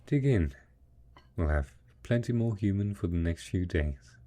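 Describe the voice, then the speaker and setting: A man's voice: deep voice, expressive, measured pace, mellow. A man playing a devoted post-apocalyptic husband in an intimate audio drama, speaking tenderly and patiently as he coaxes his monstrous spouse. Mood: patient, encouraging. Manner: tender, coaxing, patient, full of pet names.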